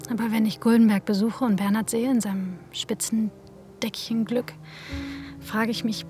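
A woman's voice: a little high, fairly even intonation, moderate pace, over music, natural voice. An actress playing an ambitious woman manager in a radio drama, telling of lost love in a wry, colloquial way. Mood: wistful, wry. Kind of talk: radio drama first-person narration and dialogue.

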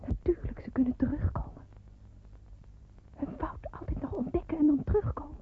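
A woman's voice: high voice, normal speed, whispered, lightly acted. Actress as a young woman in hiding, tinny recording. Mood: fearful, hushed. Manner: dramatic, intimate monologue. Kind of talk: radio play acting, first-person narration. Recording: tinny, narrowband old radio recording, faint noise.

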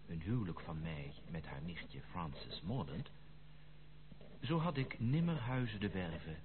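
An actor playing a lovestruck gentleman who narrates his letter to a friend in a formal, measured, literary way in a radio play. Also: sits low. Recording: noisy, tinny, band-limited old radio recording.

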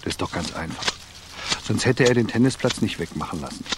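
Man as a curt, low-voiced estate employee. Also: expressive, a little fast, close to an everyday voice. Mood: curt, defensive. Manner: curt, defensive. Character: curt, defensive male estate employee loyal to his boss. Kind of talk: German radio crime drama acting. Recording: clearly noisy background.

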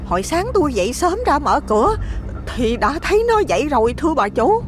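Man playing an old female housekeeper, high, lively, urgent and respectful, storybook tale. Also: fairly quick. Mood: deferential. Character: loyal older female head housekeeper.

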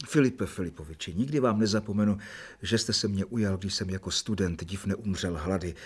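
An actor playing a devoted young doctor in a radio play, speaking earnestly and respectfully. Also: male voice, low-pitched, noisy background, natural voice. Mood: grateful. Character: devoted young male doctor, the assistant and narrator. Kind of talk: 1990 radio play acting.

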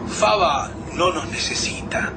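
A man's voice: on the high side, slow pace. An actor playing an anxious, suspicious man who survived a catastrophe, in a radio drama. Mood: uneasy, suspicious. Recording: very noisy ambient sound effects.

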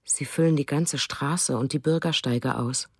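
A woman playing a quietly uneasy eyewitness in a radio drama, giving hushed, deliberate testimony. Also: low-pitched, breathy edge, no echo, clean studio sound, heavily acted.

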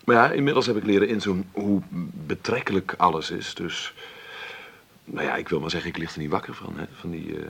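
An actor playing a wry, stubborn middle-aged film maker who talks haltingly and conversationally in a radio play interview. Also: male voice, low-pitched, creaky, fairly bright tone, husky, full character voice. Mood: musing, modest. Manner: conversational, halting, adds tag questions. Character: celebrated 53-year-old film maker, wry, stubborn, self-made. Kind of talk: radio drama played as an interview.

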